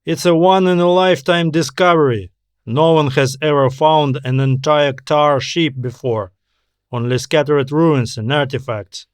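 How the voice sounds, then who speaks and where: A man voicing a cautious, matter-of-fact male space explorer who explains things in a measured way in an audio story. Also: on the high side, no room sound, studio quality, lightly acted.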